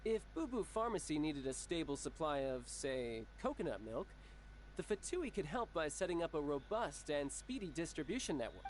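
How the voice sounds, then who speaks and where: An actor playing a smooth-talking young villainous diplomat in a video game, breezy, playful and ingratiating. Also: male voice, on the high side, big pitch swings, moderate pace, slight background noise. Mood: suave, courteous.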